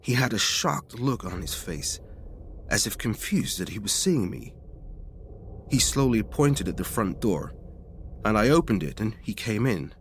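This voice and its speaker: Deep-voiced man narrating with animated range. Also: unhurried, slight background noise. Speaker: story reader. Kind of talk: scripted first-person ghost story narration.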